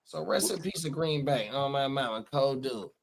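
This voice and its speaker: A man's voice: mid-range voice, moderate pace, vocal fry, background noise. Emphatic, swearing man in his forties. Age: 40s. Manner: emphatic, swears freely, repeats catchphrases. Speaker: podcast co-host. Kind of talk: casual profane chat.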